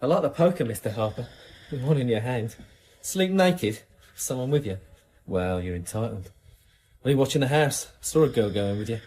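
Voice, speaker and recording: An actor playing a cocky, jittery criminal informant with a husky voice, in radio drama style. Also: male voice, medium pitch, expressive, moderate pace, darkish tone, a little hiss or hum, lightly acted. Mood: cocky, probing. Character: cocky, jittery male police informant and small-time criminal. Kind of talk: naturalistic radio drama acting.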